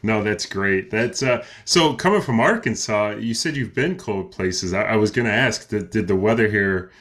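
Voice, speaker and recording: A man's voice: on the low side, moderate pace, fairly bright tone. A man hosting an interview, asking questions casually with stammers and restarts. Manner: stammering, laughs easily. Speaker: interview host.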